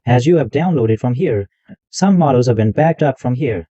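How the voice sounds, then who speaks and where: Lively man explaining software step by step. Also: mid-range voice, moderate pace, dry recording, lo-fi sound. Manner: explains step by step, repeats himself. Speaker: tech tutorial host. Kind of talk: screen-recorded software walkthrough.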